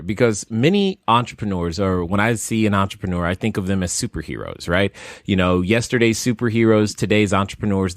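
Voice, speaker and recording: A man hosting a podcast interview, pitching his site and introducing his guest with enthusiastic, salesy energy. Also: no room sound, clean studio sound. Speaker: podcast host.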